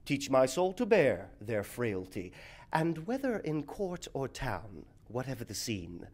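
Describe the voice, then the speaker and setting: A man playing a reasonable, philosophical gentleman in a stage verse comedy, speaking in a measured, urbane way. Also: mid-range voice, wildly swooping pitch. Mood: calm, persuasive.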